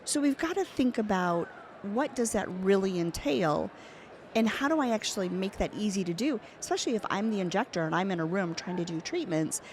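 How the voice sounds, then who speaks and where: A woman's voice: medium pitch, darkish tone. A woman hosting a conversational interview, speaking as the interviewer. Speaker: interviewer, host. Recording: faint background ambience noise.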